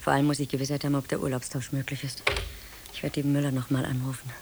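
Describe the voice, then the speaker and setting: An actress playing a timid, overworked middle-aged office employee in a German radio play, hesitant and pleading. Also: female voice, extremely low pitch, flat delivery, very fast. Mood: fretful. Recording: hissy old radio play recording.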